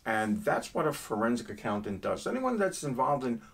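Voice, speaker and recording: A man's voice: medium pitch, very animated, moderate pace, creaky. A middle-aged man from New York with a New York accent, chatting casually as a guest and telling stories with jokes. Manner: storytelling with jokes. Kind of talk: casual chat.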